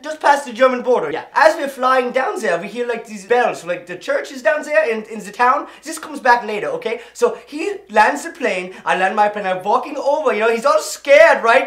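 A performer playing an excitable, boastful, bloodthirsty First World War German fighter pilot in a comedy sketch. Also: medium pitch, echoey room, natural voice. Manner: boastful, excitable, storytelling with shouted outbursts.

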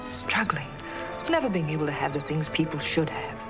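An actress playing a restless, ambitious young nurse in a 1940s film, pleading and emotional. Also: female voice, low voice, expressive, normal speed. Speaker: nurse torn between love and money. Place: outdoor park bench at night on a film set. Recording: old film soundtrack with music.

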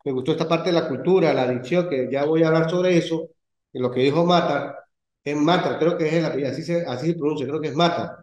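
Venezuelan professor on an echoey call. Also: male voice, on the high side, a little mellow. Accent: Venezuelan Spanish. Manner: measured, hesitant, filler-heavy. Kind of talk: online class discussion. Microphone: video call. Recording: echoey lo-fi video call audio.

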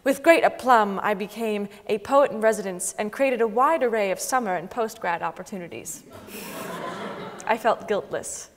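A young woman giving a deliberate, expressive dramatic reading of a memoir on a concert hall stage. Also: medium pitch. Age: young adult. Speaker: dramatic reader. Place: large wood-panelled concert hall stage, seated.

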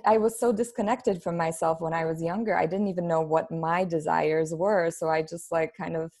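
Low-pitched woman, informal storyteller. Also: darkish tone. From Poland. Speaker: podcast guest, human design expert. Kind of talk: casual interview chat. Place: plain room at home, on a video call.

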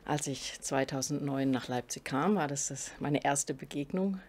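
A middle-aged woman professor from southern Germany, answering interview questions to camera in a studio, thoughtful and often pausing to search for words. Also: deep voice, darkish tone, husky. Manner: thoughtful, searching for words, frequent hesitations and restarts. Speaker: philosophy professor. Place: indoor studio before a curtain.

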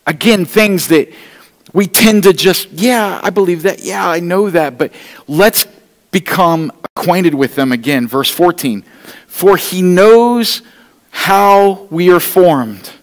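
A middle-aged man preaches to his congregation in an earnest, pleading tone, his voice sitting a bit high for a man. The sound carries the long reverb of a church hall. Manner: walks the stage holding an open Bible. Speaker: pastor. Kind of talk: sermon. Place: church stage, large room indoors.

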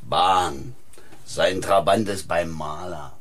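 A man's voice: low voice, slow pace, fairly bright tone, a little hiss or hum. An actor playing a surly, defensive man roused from sleep, in a German radio crime drama. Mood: grumpy, evasive.

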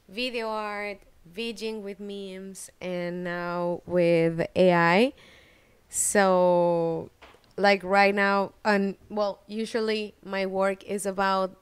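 A woman from Mexico talks slowly in a very smooth, clear voice. She answers hesitantly, pausing on "um", and the sound is close and dry. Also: mid-range voice, no echo. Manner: hesitant, with many "um" fillers. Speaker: guest artist. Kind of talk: casual interview. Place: living room.

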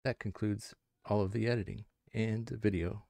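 Middle-aged man, demo narration, low pitch, creaky, close dry mic. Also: steady tone, moderate pace, darkish tone, no room sound. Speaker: video presenter. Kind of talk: scripted demonstration narration. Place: indoors at a home streaming setup. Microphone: close headset or desk microphone.

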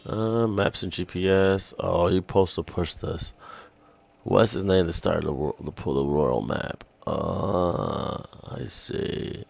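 A man's voice: low-pitched, takes it slowly, creaky, darkish tone. A tired man giving casual live commentary on a game, slangy and sweary, muttering to himself and his chat. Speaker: video game streamer.

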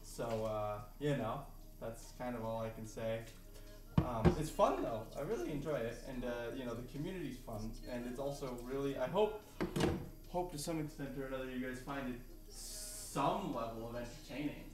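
A man's voice: medium pitch, lively intonation, normal speed. A young Canadian man chatting casually on a live cooking stream, rambling with lots of fillers and restarts. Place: home kitchen. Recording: roomy kitchen sound with faint music.

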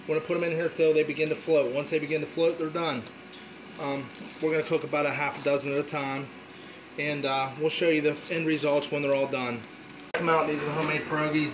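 A man's voice: a little high, noisy background. A man in his forties hosting a casual home cooking demonstration in his kitchen, talking in an easygoing, rambling, self-deprecating way. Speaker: home cooking channel host. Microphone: handheld camera filming his hands.

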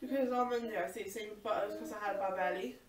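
A woman's voice: mid-range voice, fairly even intonation, fast, over a noisy crowd. A woman chatting casually about food and her week, in a gossipy way. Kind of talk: casual kitchen chat. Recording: echoey room, heard from far off, voices around.

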